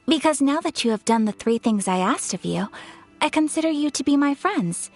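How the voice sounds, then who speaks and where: A woman's voice: sits high, animated, measured pace, soft background music. An actress playing a gracious young noblewoman who speaks formally and courteously, in a video game cutscene. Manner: formal, courteous, soft-spoken.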